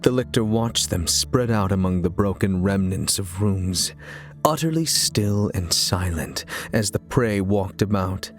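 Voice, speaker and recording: A man giving a dramatic, deliberate and suspenseful narration of a scripted audio story, with theatrical phrasing. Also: on the low side. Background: music.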